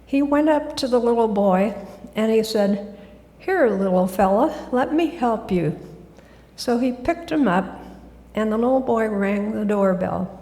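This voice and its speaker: A woman giving a gentle, soft-spoken talk as a guest speaker in a church. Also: a little high, measured pace. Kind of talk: sermon talk. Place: church sanctuary. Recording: echoey hall.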